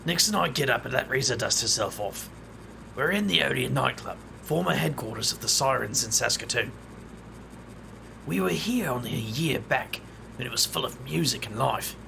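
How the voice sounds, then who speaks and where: A man playing a gravelly, wisecracking hero. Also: medium pitch, expressive, normal speed, noisy background. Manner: dry and wisecracking, narrates his own actions. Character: wry young man, a magic-wielder. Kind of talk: tabletop roleplay in character.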